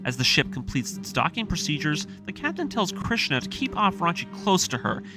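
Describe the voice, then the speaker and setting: A man's voice: a little high, big pitch swings, fairly quick. A man hosting a video series, narrating a recap in a conversational, semi-scripted way. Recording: music playing behind the voice. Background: music.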